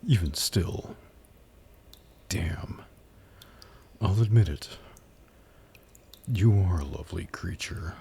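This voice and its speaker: ASMR demon buyer, acted by a man: very deep, gravelly, mocking and flirtatious, predatory, breathy close-mic delivery. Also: warm tone, slight background noise. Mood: amused, flirty teasing. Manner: slow, taunting, condescending purr. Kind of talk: ASMR roleplay acting.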